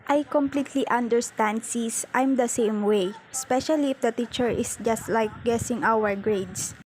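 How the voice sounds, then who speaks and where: A teasing, sisterly girl student in a homemade cartoon, acted by a woman. Her voice sits fairly high and she talks like a close friend. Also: normal speed, darkish tone, slight background noise, lightly acted, poor audio. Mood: sympathetic. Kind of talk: amateur animated cartoon dialogue.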